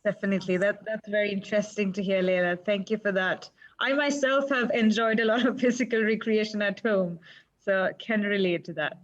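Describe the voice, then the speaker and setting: A young woman moderates an online panel over a video call and asks each speaker warm follow-up questions. The sound is muffled and lo-fi. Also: medium pitch, steady tone, brisk. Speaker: webinar moderator.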